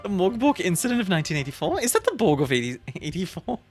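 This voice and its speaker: Lively giggly woman, British-leaning English. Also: fairly low pitch, quick pace, soft background music. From Belgium. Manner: bubbly, giggly, chatty with frequent fillers. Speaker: streamer. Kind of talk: casual chat reading live chat.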